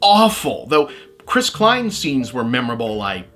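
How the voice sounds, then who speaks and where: A man's voice: mid-range voice, sing-song, measured pace, over music. Male video essay host, conversational scripted commentary, wry, with casual asides.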